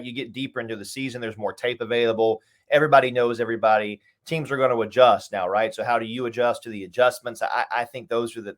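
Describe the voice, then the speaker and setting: A man who works as a sports announcer, giving casual, emphatic analysis as an interview guest. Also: medium pitch.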